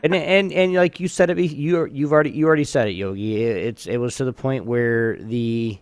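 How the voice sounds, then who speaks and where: Casual man hosting trivia, halting delivery. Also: medium pitch, fairly even intonation, clean tone, dry recording. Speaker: podcast host running a trivia game. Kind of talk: casual chat.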